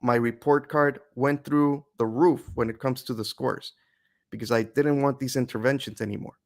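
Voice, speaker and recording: Reflective man speaking with halting restarts. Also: mid-range voice, fairly even intonation. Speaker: interview guest, English teacher. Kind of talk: reflective interview conversation.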